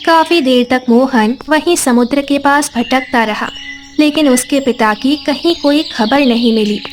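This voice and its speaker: A woman narrating a cartoon story in a steady storytelling manner. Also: high voice, measured pace, faint music behind.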